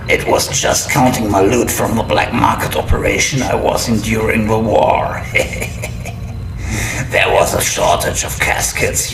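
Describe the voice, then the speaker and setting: An actor playing a gleefully ghoulish old storyteller who narrates a horror audio drama in a macabre, mock-sinister manner. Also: male voice, low voice. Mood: macabre glee. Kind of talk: theatrical horror anthology narration. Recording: roomy echo, distant, loud music underneath.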